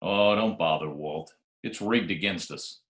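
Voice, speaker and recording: An actor playing a wisecracking, sardonic private detective who also narrates, in a 1940s-style radio drama. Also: male voice, sits low, measured pace, fairly bright tone. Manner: dry, sardonic. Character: wisecracking hard-boiled private detective, narrating his case. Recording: roomy remote home recording, faint music.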